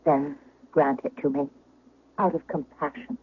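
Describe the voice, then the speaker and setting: An actress playing an imperious, pleading aristocratic wife in an old-time radio drama, formal and theatrical. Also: female voice, sits low, fairly even intonation, measured pace, breathy edge, exaggerated voice. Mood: coaxing, pleading. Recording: thin telephone-like vintage radio broadcast.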